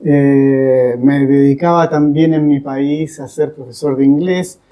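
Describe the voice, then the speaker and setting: A man talking reflectively as a podcast guest, deliberately, with pauses between short phrases. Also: mid-range voice, fairly even intonation, lo-fi sound. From Chile. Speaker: guest, English teacher.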